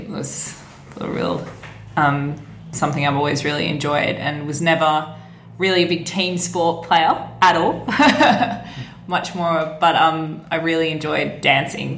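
Female interview guest, casual delivery, very low creaky voice, echoey room with faint ambient noise. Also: a bit bright. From born in Scotland, grew up in Perth, Australia from age seven. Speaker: interview guest, tour operator. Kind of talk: casual chat.

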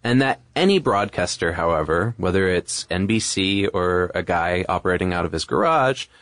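A man's voice: sits low, lively intonation, moderate pace, fairly bright tone, dry recording, studio quality. A man chatting and explaining in a casual, discursive way as a podcast co-host. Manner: conversational, discursive.

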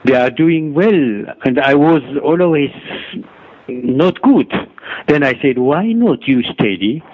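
A middle-aged Ethiopian-accented man speaks very slowly and haltingly, repeating himself as he feels for each phrase. His voice comes through a dull, lo-fi radio recording. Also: a little high, animated. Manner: halting, repeats and restarts words. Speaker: guest author and doctor. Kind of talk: interview answers.

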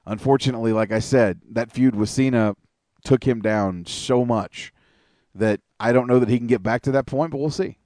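A man's voice: mid-range voice, normal speed, darkish tone, dry recording. A man co-hosting a casual wrestling podcast, talking in a rambling, opinionated way and swearing a lot. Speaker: podcast co-host.

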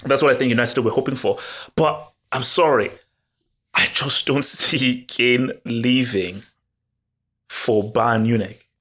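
A man talks football on a casual podcast, excited and animated, with frequent repeated words and quick restarts as his thoughts pour out. His voice sits at an ordinary pitch for a man. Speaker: podcast co-host.

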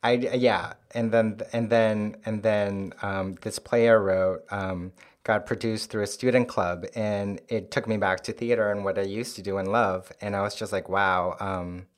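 A man from Chile, a playwright guest, talking casually with soft, hesitant pauses and many ums. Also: lowish voice. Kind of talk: casual conversation.

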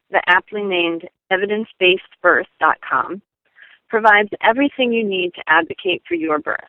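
A woman's voice: mid-range voice, no room sound. A woman giving a scripted, informational segment as a show contributor, over a thin telephone-like line. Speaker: segment contributor on pregnancy resources. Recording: thin telephone-like band-limited sound.

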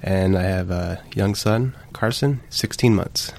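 A man in his late thirties talks as a podcast guest. He is earnest and animated, with a fairly low voice. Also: unhurried, creaky. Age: 30s. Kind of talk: casual panel discussion.

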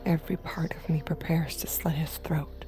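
A woman playing a conflicted, obedient android girlfriend in an audio drama, narrating intimately in a hushed voice that often slips to a whisper. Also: deep voice, little pitch movement, normal speed, lightly acted, low-quality recording. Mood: hushed, tense. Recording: music behind the voice.

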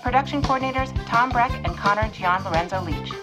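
A woman's voice: on the high side, fairly quick. A woman announcer giving a scripted reading of production credits. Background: loud music.

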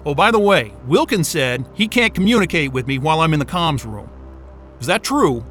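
Stranded polar worker in audio drama: high-pitched, volatile range, profane, urgent, exasperated. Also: male voice, big pitch swings, moderate pace, fairly bright tone, faint music behind. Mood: irritated, hurried. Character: panicked, sweary man stranded at polar station.

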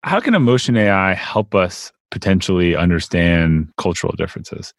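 Deep-voiced male interview host. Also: expressive, moderate pace, no room sound, clean studio sound. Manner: musing, repeats words while thinking aloud. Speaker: podcast host. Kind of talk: casual interview conversation.

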